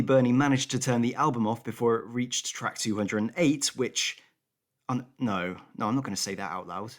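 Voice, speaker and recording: A man, a comedy podcast writer, giving a tongue-in-cheek scripted announcement in a deadpan manner. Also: medium pitch.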